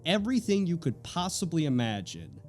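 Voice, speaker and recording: A young man delivers scripted narration as a creator introducing his work. His voice sits a little high for a man. Music plays behind him. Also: wildly swooping pitch, fairly quick, a little mellow. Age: young adult. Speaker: writer and creator of an audio drama series.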